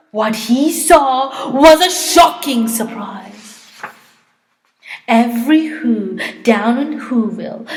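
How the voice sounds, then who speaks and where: A woman reading a storybook dramatically, with theatrical, expressive and deliberate phrasing, in a reverberant hall. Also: fairly high pitch. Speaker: storyteller, teacher. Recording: echoey hall with long reverb.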